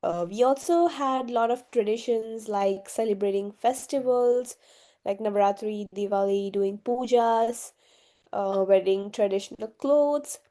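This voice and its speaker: Young woman from Gujarat, native Gujarati speaker, interviewee; unhurried, warm-toned, soft-spoken with lots of ums. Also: medium pitch. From Gujarat, India. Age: young adult. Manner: hesitant, many fillers, soft-spoken. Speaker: interviewee, university student. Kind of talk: casual interview answers.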